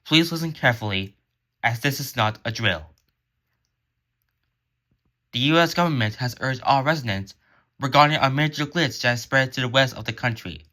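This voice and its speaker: A man's voice: medium pitch, animated, normal speed, pauses often, sharp tone. A man reading scripted emergency alert narration in a formal, solemn announcer manner.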